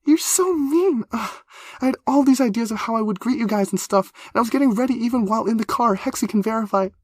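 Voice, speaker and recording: A woman's voice: a little high, fast, no echo, studio quality, voice pushed up, close to an everyday voice. A man reading a story, voicing a bubbly, nervous young woman who gushes and trips over her words. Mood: nervous, gushing. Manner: gushing, flustered, loud.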